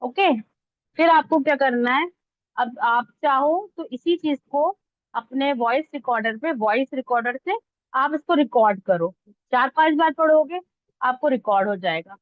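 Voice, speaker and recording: A woman's voice: high voice, expressive, measured pace, dry recording. A woman instructor giving an informal step-by-step tutorial, talking conversationally and checking that listeners follow. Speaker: instructor teaching reel editing online. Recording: dull lo-fi close dry audio.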